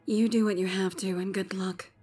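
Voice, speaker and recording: A woman's voice: medium pitch, steady tone, normal speed, warm tone, husky, faint music behind, lightly acted. A woman playing a tough, guarded secret operative in an audiobook, with curt, clipped delivery. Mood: resolute. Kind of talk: acted audiobook dialogue over music.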